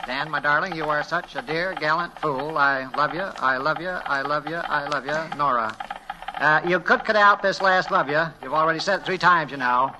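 Actor as high-pitched, fussy telegraph clerk. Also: male voice, moderate pace, close to an everyday voice. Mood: plodding recital. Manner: flat recital, pedantic advice. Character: fussy, literal-minded male small-town telegraph clerk. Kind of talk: old-time radio drama acting. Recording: noisy vintage radio, background ambience.

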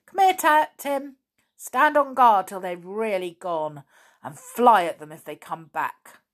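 A woman's voice: mid-range voice, lively intonation, slow pace. A woman voicing a fierce tomboy girl commanding her dog, in a storybook reading. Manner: urgent commands.

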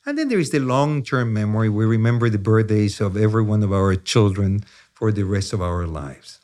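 Chilean-accented man in his sixties, animated. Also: lowish voice, a little fast. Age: 60s. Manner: animated, repeats "yeah?" and "you know", stammers on restarts. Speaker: neuroscientist guest. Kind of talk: conversational explanation.

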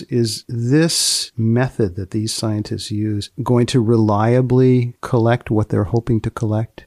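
A male podcast host with a creaky, gravelly edge to his voice, recorded close and dry. He speaks at a medium pitch and poses his questions in a measured, deliberate manner. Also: darkish tone, dry recording. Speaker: podcast host and magazine editor. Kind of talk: hosting a science humour podcast, reading and asking questions.

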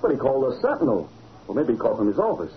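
An actor playing a wisecracking American newspaper reporter, slangy, punchy and excitable, in an old-time radio drama. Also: male voice, mid-range voice, very fast. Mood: impatient, wary. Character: cocky, wisecracking newspaper reporter, adult man. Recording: muffled, noisy old radio broadcast recording.

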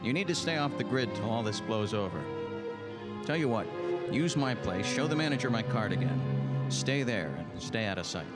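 A tough, world-weary 1940s private eye acted by a man tells his story in clipped radio-noir style. His pitch moves in lively swings over a medium register. Loud music plays behind him. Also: moderate pace, close to an everyday voice. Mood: firm. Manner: terse, tough-talking.

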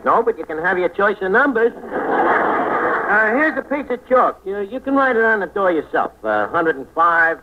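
Brash New tavern boss, radio comedy, lively high voice, wisecracking, tinny noisy vintage sound. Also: male voice, normal speed. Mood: bossy, wry. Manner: wisecracking, malapropisms, hemming. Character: brash, fast-talking male tavern keeper running a shabby hotel. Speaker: comedy show lead. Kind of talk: 1940s American radio comedy acting. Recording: scratchy, tinny old radio recording, loud noise.

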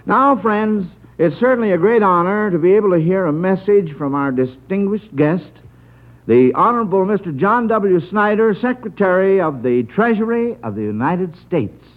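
A man's voice: sits high, expressive, moderate pace, narrow, tinny audio. A man hosting an old-time radio quiz show in a jovial, folksy, chatty manner. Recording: band-limited old radio broadcast.

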